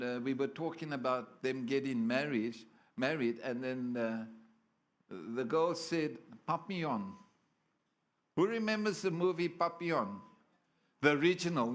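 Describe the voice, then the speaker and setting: A man preaching a sermon in church, playful and full of stories, often asking the crowd for amens. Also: medium pitch. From South Africa.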